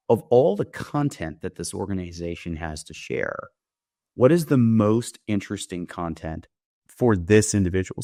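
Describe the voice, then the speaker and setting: A man's voice: low-pitched, vocal fry, a little mellow, dry recording, clean studio sound. A man giving a conversational product demo, explaining things step by step with the odd check question. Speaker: company founder giving a software demo.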